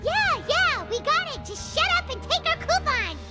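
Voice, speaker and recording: Tiny fairy sidekick, squeaky childlike voice, very high, bouncy intonation, loud game music behind. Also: female voice, sing-song, moderate pace. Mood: impatient. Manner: chatty, talks about herself in third person. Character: tiny floating fairy companion, chirpy and excitable. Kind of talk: video game dialogue.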